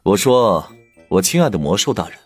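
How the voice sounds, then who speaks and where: A man's voice: low voice, sing-song, moderate pace. A man playing a suave, sly, teasing aristocrat in an audio drama, talking playfully. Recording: dry, music behind the voice.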